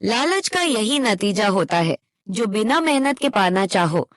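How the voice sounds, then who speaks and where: A woman's voice: mid-range voice, very animated, measured pace, no room sound, clean studio sound. A woman narrating a cartoon moral tale in a scripted storytelling style.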